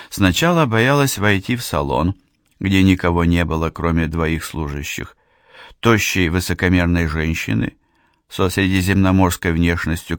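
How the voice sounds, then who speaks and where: A man narrating an audiobook in a measured storytelling manner, reading translator's notes aloud. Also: deep voice, vocal fry, dry recording, studio quality. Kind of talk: scripted narration.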